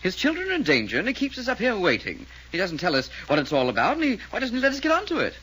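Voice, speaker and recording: A refined gentleman adventurer, bright-toned, with wildly swinging pitch. His plummy speech turns excitable, in 1940s radio style, with faint noise behind. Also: fast talker, full character voice, poor audio. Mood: genteel.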